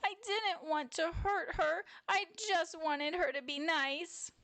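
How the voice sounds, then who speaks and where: A sad young girl bear from a children's storybook, acted out by a woman. The voice is lifted high and childlike. It sounds plaintive and wounded, on the edge of crying. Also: fairly even intonation, takes it slowly, vocal fry, dry recording, heavily acted. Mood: tearful regret.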